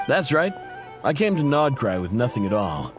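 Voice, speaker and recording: A man's voice: mid-range voice, big pitch swings, normal speed, close to an everyday voice. An actor playing a friendly, modest workman and family man in a video game, chatty, affable and self-deprecating. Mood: grateful, amiable. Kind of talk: video game character acting. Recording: music behind the voice.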